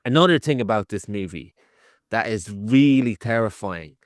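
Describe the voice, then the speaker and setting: A man's voice: on the low side, lively intonation, moderate pace, dry recording, studio quality. A man with an Irish accent, a podcast co-host giving a casual, conversational film review and thinking aloud as he goes. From Ireland.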